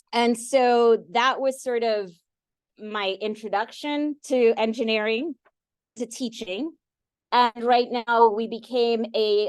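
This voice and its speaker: A woman's voice: a little high, smooth, dry recording. Middle-aged woman giving an engineering talk. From Guyana. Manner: conversational, with frequent fillers and restarts. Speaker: engineering professor giving a lecture. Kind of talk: academic talk with slides.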